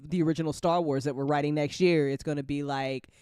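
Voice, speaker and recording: Woman hosting a casual chat, dry close sound, animated and sweary, kinda playful. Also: sits low, fast, smooth, no room sound. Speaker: host of a fantasy audio drama chat show, writer.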